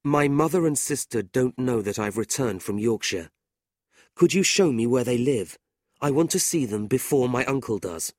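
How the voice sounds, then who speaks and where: A man's voice: mid-range voice, lively intonation, moderate pace, darkish tone, dry recording, studio quality, close to an everyday voice. A man voicing a proud, honest young man who speaks earnestly and flares with indignation, in a storybook reading.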